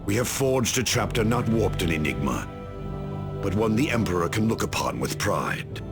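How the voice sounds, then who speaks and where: A man's voice: on the low side, sing-song, normal speed, loud music behind the voice. An actor playing a stern veteran male space marine in a video game cutscene, speaking in a solemn, formal way. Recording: loud game score behind.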